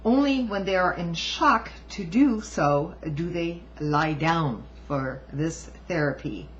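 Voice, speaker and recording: Female commentator reading aloud, very unhurried and deliberate, emphatic asides, dull home recording. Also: fairly low pitch, expressive, slight background noise. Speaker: amateur book reviewer and commentator.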